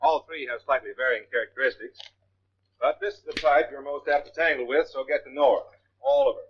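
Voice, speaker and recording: An actor playing a seasoned, dry, authoritative American officer instructing in a 1940s military training film. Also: male voice, mid-range voice, lively intonation, a little fast, lo-fi sound, lightly acted. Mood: brisk, instructive. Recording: noisy old 1940s film soundtrack.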